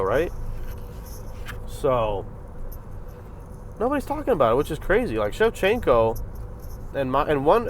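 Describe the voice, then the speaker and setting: A man hosting a reaction video, giving casual, profane commentary on a fight, jumping from loud bursts to low mutters. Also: a little hiss or hum.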